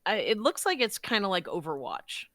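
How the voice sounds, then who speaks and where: A woman chatting casually as a podcast guest, expressive and effusive. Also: mid-range voice, moderate pace, no room sound, studio quality. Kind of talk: casual conversation.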